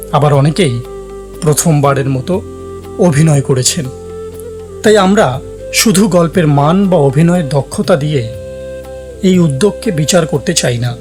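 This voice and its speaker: A man giving a formal, scripted introduction as the presenter of a story series. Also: on the high side. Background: background music.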